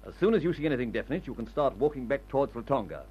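An actor playing a brisk, decisive leader of airmen, giving instructions with calm authority in an old radio adventure serial. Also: male voice, medium pitch, husky. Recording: dull old radio recording.

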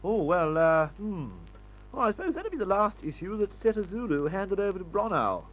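A man's voice: high voice, big pitch swings, moderate pace, fairly bright tone, soft background music, heavily acted. Jaunty, swooping upper-class English airman. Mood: jaunty, complacent. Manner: drawling, blustering, peppered with old-fashioned slang. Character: jaunty upper-class British airman, breezy silly-ass bluster. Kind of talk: old-time radio adventure serial acting. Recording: dull, muffled old radio recording.

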